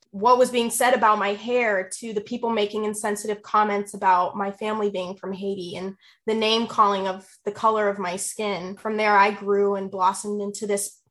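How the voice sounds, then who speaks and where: A woman in her twenties, answering an interviewer with an earnest, heartfelt reflection in measured phrases. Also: medium pitch, fairly bright tone. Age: 20s.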